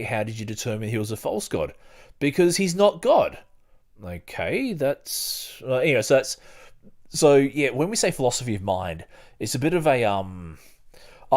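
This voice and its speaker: Low-voiced man hosting a podcast. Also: darkish tone. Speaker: podcast host. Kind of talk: casual rambling discussion and reading aloud from a book.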